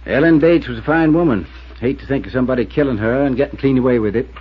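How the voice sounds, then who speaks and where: Man playing a husky, folksy rural American constable. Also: mid-range voice, lightly acted. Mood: glum, matter-of-fact. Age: middle-aged. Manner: folksy, terse, slow to commit. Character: gaunt middle-aged small-town constable, folksy and glum. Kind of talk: 1950s radio drama acting. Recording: muffled 1950s radio recording.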